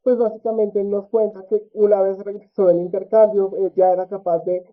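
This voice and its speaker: A man's voice: high voice, level tone. Man giving an academic talk over a tinny line. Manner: measured, leans on fillers and tag questions. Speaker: conference presenter. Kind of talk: academic conference presentation. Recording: tinny phone-like audio.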